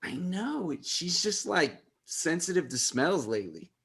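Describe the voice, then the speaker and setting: A man's voice: a little high, very animated, unhurried, creaky, a bit bright, breathy edge, full character voice. A man playing an easygoing, chummy, teasing teenage son in a comedy table read. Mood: teasing, fond.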